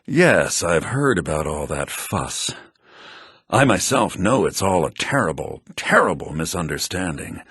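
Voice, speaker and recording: An actor playing an excitable, fussy male townsman and schemer in a fantasy video game, with a theatrical manner. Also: unhurried, husky, dry recording, clean studio sound, full character voice. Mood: fussy, weary. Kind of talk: video game character dialogue. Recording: low-bitrate game audio.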